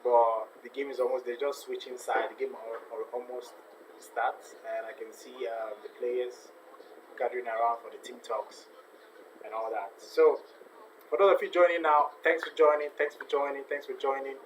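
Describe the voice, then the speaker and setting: A man's voice: mid-range voice, level tone, moderate pace, rough recording. A man hosts a casual football watch-along and reads out viewer messages. He talks in a mid-range voice, often repeating himself. Faint background hiss sits under the voice. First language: not a native Ghanaian; speaks with a foreign accent. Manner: chatty, repeats phrases, reads viewer comments aloud. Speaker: live stream host.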